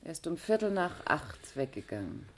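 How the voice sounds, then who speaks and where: Lilting, courteous pharmacy assistant. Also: female voice, low-pitched, sing-song, moderate pace. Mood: helpful, inquisitive. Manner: courteous, businesslike. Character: brisk, dutiful female pharmacy assistant. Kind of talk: 1960s German radio crime drama acting. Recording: hissy 1960s radio play recording.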